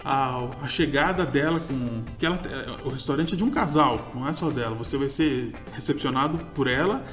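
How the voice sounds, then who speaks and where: A man's voice: mid-range voice, moderate pace, a bit bright, faint music behind. A man, co-hosting a casual food chat and often groping for names. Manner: chatty, gropes for names, laughs easily. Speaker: podcast co-host, food reviewer.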